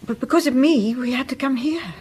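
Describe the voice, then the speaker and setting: An actress playing an anxious, guilt-ridden village wife in a radio drama, halting and self-reproachful. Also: female voice, high voice, a little mellow, slight background noise, lightly acted. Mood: guilty, distressed.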